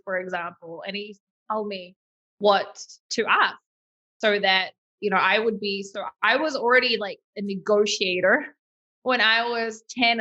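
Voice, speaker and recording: A woman in her thirties with a New Zealand accent, telling her story as a podcast guest in a lively, chatty way. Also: medium pitch, lo-fi sound. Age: 30s. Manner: lively and chatty, many fillers like "like" and "um". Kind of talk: casual interview storytelling.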